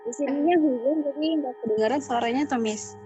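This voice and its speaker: A woman's voice: high-pitched, a little fast, highs cut off, over music. A young woman student from Maluku answering her lecturer in an online class with short, hesitant replies, rain outside. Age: young adult.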